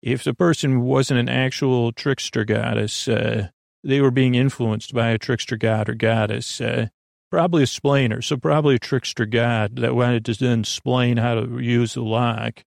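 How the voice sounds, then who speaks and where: Creaky voiced guy doing a sleepy bedtime podcast, dry close sound, keeps wandering off and restarting. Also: medium pitch, fairly even intonation, no echo. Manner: meandering, halting, full of tangents and self-interruptions; lulling, soothing. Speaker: podcast host.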